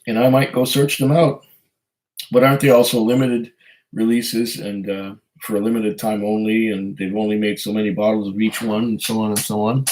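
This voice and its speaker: A man with a hoarse voice from a cold, hosting a casual live stream, reading viewer comments and chatting in a rambling, hesitant way. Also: lowish voice, level tone, normal speed, darkish tone, poor audio. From Canada. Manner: rambling, hesitant, chuckles often. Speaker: whiskey channel host reading live chat.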